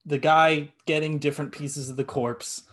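A man's voice: a little high, level tone, normal speed, fairly bright tone. A man co-hosting a casual podcast chat, blunt, sarcastic and often profane. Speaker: podcast co-host. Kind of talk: casual chat reviewing a film.

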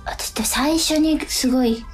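A woman's voice: high voice, measured pace, faint music behind. A woman co-hosting a radio show, talking casually about acting, polite and hesitant as she thinks aloud. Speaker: radio show co-host and stage actress. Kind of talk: casual radio talk between actors.

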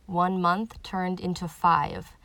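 A woman reading a scripted news report as host, in a measured, even delivery. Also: lowish voice, dry recording.

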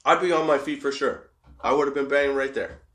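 A high man rambling casually and profanely about getting past setbacks, laughing often. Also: fairly quick, fairly bright tone, reverberant. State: high on cannabis.

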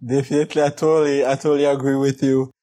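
Male interview host, upbeat and welcoming, mid-pitched. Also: little pitch movement, fast, mellow. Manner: upbeat, reads guest introduction. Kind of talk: podcast interview hosting.

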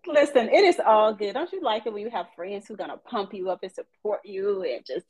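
A woman from East Texas, chatting on a podcast as a guest counselor and telling her story with faith-filled warmth. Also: medium pitch, animated, brisk, no room sound, poor audio. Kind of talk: casual podcast chat.

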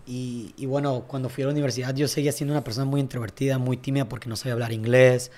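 A man's voice: mid-range voice, fairly even intonation, a little mellow. A young man, a tennis coach and guest, telling his story in a casual podcast chat and often asking "¿sabes?". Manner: reflective, fast storytelling with frequent "¿sabes?".

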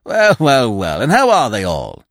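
A man plays a hearty, gushing fellow from a comic storybook, everybody's old chum. His pitch swoops extravagantly and the sound is close and dry. He is effusive and chummy, full of exclamations. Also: huge pitch swings, unhurried, no room sound. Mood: exuberant. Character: jovial hearty male sponger, gushing old-chap bonhomie.

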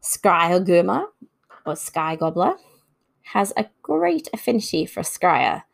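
A woman's voice: fairly low pitch, big pitch swings, takes it slowly, creaky. A woman hosting an informal talk on folklore, reading from notes and adding casual asides. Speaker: host. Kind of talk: informal scripted narration about folklore.

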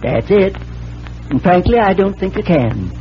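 An actor playing a smug, boastful rival stage magician with an oily, formal manner in a 1940s radio drama. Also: male voice, sits high, sing-song, a little slow, mellow, soft background music, full character voice. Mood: smug challenge. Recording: muffled, hissy old radio recording.

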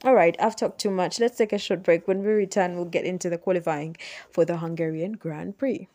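A woman's voice: lowish voice, fairly even intonation, brisk, mellow. A young woman from Uganda hosting her own podcast, chatting through a race recap and explaining things step by step, correcting herself as she goes. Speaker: podcast host. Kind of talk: solo sports recap podcast.

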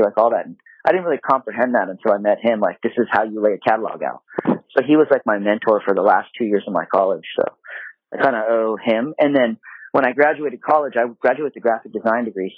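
A man in a relaxed interview who grew up in Japan, talking through his work as an apparel designer with lots of casual fillers. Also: mid-range voice, breathy edge, dry recording. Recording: dry, phone-like remote call audio.

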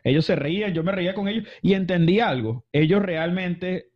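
A man's voice: high-pitched, moderate pace. A man from Venezuela, telling stories as an interview guest in a casual, rambling way full of fillers and restarts. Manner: chatty, many fillers and restarts. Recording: dull lo-fi audio.

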